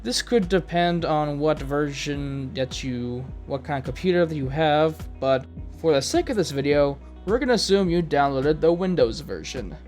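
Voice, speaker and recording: Animated man narrating a tutorial. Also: a little high, normal speed, hardly any pauses, soft background music. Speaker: tutorial host.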